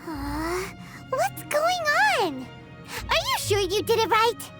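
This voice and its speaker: An excitable little fairy girl chatters in a very high, lively voice that leaps up and down. She talks slowly and deliberately, and game music plays clearly behind her. She calls herself by name. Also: full character voice. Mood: bubbly. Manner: bubbly, exclaiming, refers to herself in the third person. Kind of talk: video game cutscene voice acting. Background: game soundtrack music.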